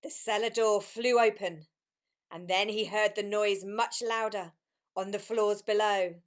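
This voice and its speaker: A woman's voice: medium pitch, fairly even intonation, measured pace. Female radio-drama narrator: middle register, scripted story reading.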